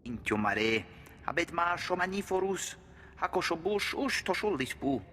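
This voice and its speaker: A man's voice: on the high side, very animated, moderate pace, pushed and bright. An actor voicing a dramatic male alien who speaks an invented tongue in a video game. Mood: grave, dramatic. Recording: long reverberant hall, faint ambient noise.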